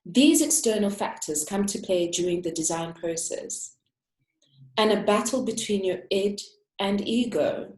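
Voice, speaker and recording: A woman, an interior architect, giving a prepared, reflective talk and slowing down to stress key words. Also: medium pitch, a little mellow, echoey room, poor audio. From Africa.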